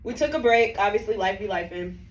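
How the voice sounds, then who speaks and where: A hoarse woman co-hosting a film podcast, chatting casually in slang and often restarting her sentences. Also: medium pitch, expressive, a little fast. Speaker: podcast co-host. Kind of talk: casual chat. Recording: roomy, a bit distant, faint hiss.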